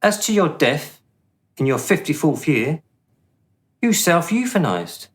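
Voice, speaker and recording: A man playing a smooth, condescending afterlife official in a radio play, officious and deliberate in manner. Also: fairly high pitch, very animated. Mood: officious, coolly judging. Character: smooth, condescending male afterlife bureaucrat judging the dead.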